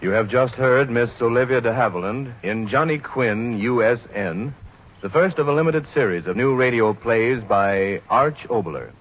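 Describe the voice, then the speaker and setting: A man with an American accent giving a formal, measured announcement as a 1940s radio network announcer. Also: medium pitch, breathy edge. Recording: dull 1940s radio recording.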